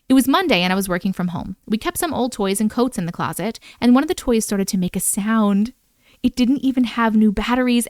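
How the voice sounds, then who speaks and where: A woman in her thirties reading viewer-submitted scary stories aloud in a bedroom, breaking off for chatty, animated comments. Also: medium pitch, dry recording, studio quality. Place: bedroom, indoors. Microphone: large studio mic on boom in front of her.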